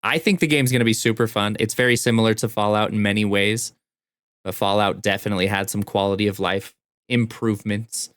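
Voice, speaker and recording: A man's voice: lowish voice, fairly even intonation, brisk, fairly bright tone, clean tone, dry recording, clean studio sound. A man chatting casually and enthusiastically about video games as a podcast co-host.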